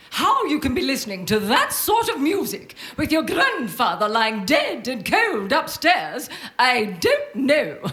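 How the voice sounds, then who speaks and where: A woman's voice: high-pitched, a bit bright, exaggerated voice. A woman playing a bossy, grudging housewife in a live radio play, scolding in a theatrical way. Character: bossy, grudging housewife in mourning, a grown daughter. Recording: roomy live theatre stage.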